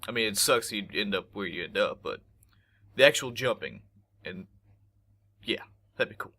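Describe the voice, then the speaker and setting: A man's voice: on the low side, expressive, normal speed, sharp tone. A young man with a congested voice, swearing and joking casually as he plays a game. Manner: profane, joking, talks back to the game. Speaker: gameplay commentator. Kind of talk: casual let's-play commentary.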